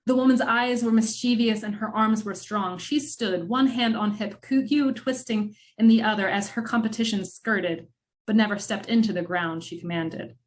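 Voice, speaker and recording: A woman narrating a scripted film-noir radio drama in measured, dramatic storytelling with wry asides. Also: medium pitch.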